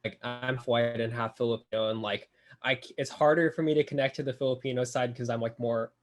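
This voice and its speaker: A man's voice: medium pitch, fairly even intonation, brisk. A young male college student on a podcast, rambling and leaning heavily on "like" while he thinks aloud. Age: young adult. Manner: rambling, heavy use of "like". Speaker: college student, club officer. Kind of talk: casual podcast chat.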